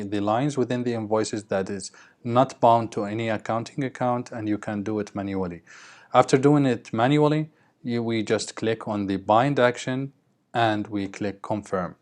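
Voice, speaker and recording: Low-pitched man giving a software tutorial. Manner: instructional, checks in with okay. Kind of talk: screen-recorded tutorial, explaining step by step.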